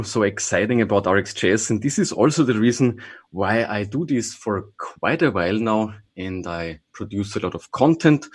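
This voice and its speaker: A man, a trainer and consultant, talking casually as a podcast guest and thinking aloud with restarts. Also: on the low side. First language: German. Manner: relaxed, thinking aloud with restarts and small laughs.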